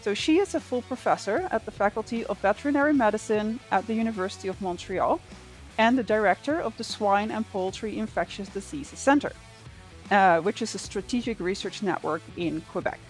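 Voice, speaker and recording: A woman's voice: mid-range voice, a little fast, soft background music. A young woman hosting a podcast, reading her intro and questions in a friendly way with "um" and "like" fillers. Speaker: podcast host.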